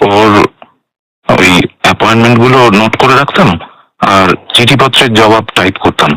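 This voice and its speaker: A man's voice: on the low side, normal speed, bright, forceful tone, no room sound, low-quality recording. An actor playing a guarded, polite male secretary in a radio drama, answering carefully and defensively.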